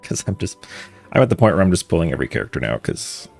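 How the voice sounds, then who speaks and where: Deep, gravelly offhand male game streamer. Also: very animated, fast, darkish tone, soft background music. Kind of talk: casual live playthrough commentary.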